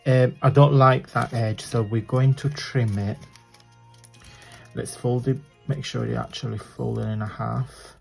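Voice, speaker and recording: A man with a croaky voice hosting a casual craft tutorial, chatting and apologizing as he works with his hands at a desk. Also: medium pitch, steady tone, measured pace, soft background music.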